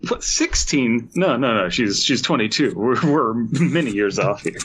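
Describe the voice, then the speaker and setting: A man's voice: mid-range voice, expressive, measured pace, background noise. A man co-hosting a podcast, chatting casually about games with dry jokes. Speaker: podcast co-host. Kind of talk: casual gaming chat.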